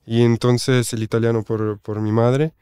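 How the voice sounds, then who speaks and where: A man from Switzerland, a podcast guest, answering calmly and explaining at length. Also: mid-range voice, measured pace, clean tone, dry recording. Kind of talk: interview answers.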